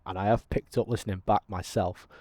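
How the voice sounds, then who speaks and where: A man talking through a simulator game as a channel host, in a self-described monotone with frequent ums. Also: fairly low pitch, a little fast, no room sound. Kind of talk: casual gameplay commentary.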